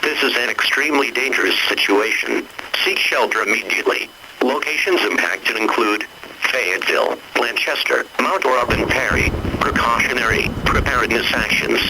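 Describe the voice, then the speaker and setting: A man's voice: mid-range voice, moderate pace, close to an everyday voice. A man's voice as an impersonal weather alert announcer, reading a formal scripted bulletin. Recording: very noisy radio-like alert broadcast.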